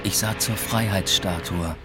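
A young man, a doctoral student who reflects on his life and avoids committing. His voice is low and his delivery understated, as in a literary radio drama. Sound design sits around the voice. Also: steady tone, measured pace, over music. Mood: reflective narration. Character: reflective young male German doctoral student, evasive, noncommittal. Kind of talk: radio drama, first-person literary narration and dialogue.

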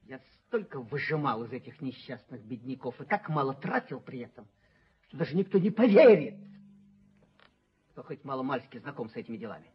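A very husky, raspy male rent collector in a muffled old radio drama. He is servile yet bitter, pleading his case with theatrical emphasis and aggrieved self-justification. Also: mid-range voice, sing-song, brisk. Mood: tearful indignation. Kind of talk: theatrical radio play acting. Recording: muffled 1970s radio play recording.

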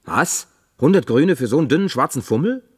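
A man's voice: a little high, expressive, fairly quick, breathy edge. An actor in a German radio play playing a plain-spoken, skeptical, restrained man with a terse, wry, questioning manner. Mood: shocked.

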